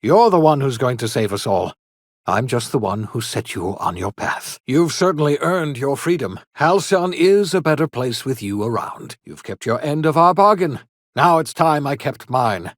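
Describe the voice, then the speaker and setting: An actor playing an eccentric elderly scientist and rebel in a video game, earnest and theatrical, with formal, old-fashioned, emphatic phrasing. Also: male voice, mid-range voice, expressive, normal speed, no room sound, studio quality, lightly acted. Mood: earnest, hopeful. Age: older. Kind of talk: video game character dialogue.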